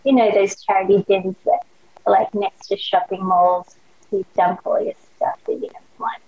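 A woman's voice: medium pitch, steady tone, moderate pace, breathy edge. A young woman with an Australian accent, a podcast guest chatting eagerly in slangy casual talk full of "like" fillers. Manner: enthusiastic, slangy, many "like" fillers. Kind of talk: casual chat. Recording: dull lo-fi sound.

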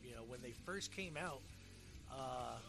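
A man's voice: mid-range voice, lively intonation, measured pace, vocal fry, over music. A middle-aged man hosting a podcast in casual chat, rambling and often repeating his words. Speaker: podcast host.

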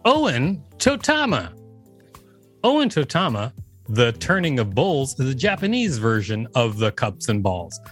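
A man's voice: mid-range voice, wildly swooping pitch, a little slow, slightly rough, soft background music. A male podcast co-host reading an encyclopedia article aloud, with an amused, casual manner.

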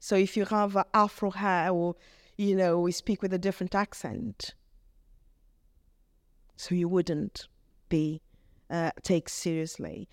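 A middle-aged woman with a Brazilian Portuguese accent, speaking in English as a vicar. She gives an earnest, halting testimony and often restarts her phrases. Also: on the low side, steady tone, no echo, clean studio sound. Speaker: vicar, guest. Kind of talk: reflective testimony in conversation.

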